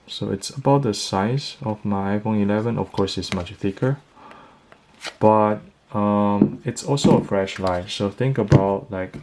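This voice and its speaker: A man giving a casual unboxing and product review, talking in a halting, think-aloud way. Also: fairly low pitch, noisy background.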